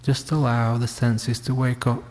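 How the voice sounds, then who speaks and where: A man leading a guided meditation, speaking gently and leaving long pauses. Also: mid-range voice, flat delivery. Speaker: meditation teacher. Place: reverberant room at a wellness centre. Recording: long hall-like reverb.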